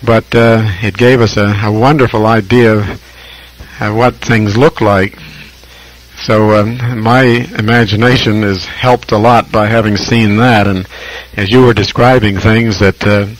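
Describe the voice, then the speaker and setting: American man, lowish voice, amateur radio operator, casual conversation, halting and reflective, long pauses, vintage shortwave radio sound. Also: steady tone, poor audio. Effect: shortwave radio transmission.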